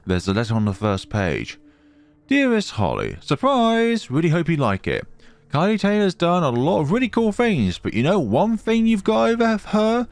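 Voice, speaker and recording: Very animated gamer reading and reacting, dry sound. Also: medium pitch, normal speed, no room sound. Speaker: gamer, let's player. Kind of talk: casual game commentary, reading text aloud.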